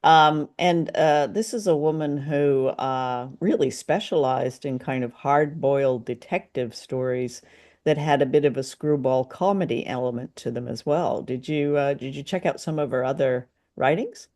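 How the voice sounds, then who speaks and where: Podcast cohost, mid pitch, chatty, laughs a lot, keeps asking the other guy stuff. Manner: lively, quick to laugh, asks questions. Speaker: podcast co-host. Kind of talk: casual chat about a radio drama.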